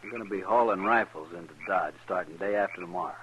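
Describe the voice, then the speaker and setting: An American actor playing a furtive, scheming wagon driver in a 1950s western radio drama, conspiratorial and drawling. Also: male voice, low-pitched, quick pace, fairly bright tone, breathy edge, lo-fi sound. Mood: secretive, wary. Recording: faintly noisy vintage radio recording.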